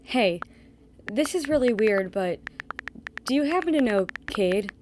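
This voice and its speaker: A woman's voice: on the high side, animated, unhurried, noisy background. A young woman playing a blunt, exasperated other woman in an audio drama. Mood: awkward, hesitant. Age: young adult.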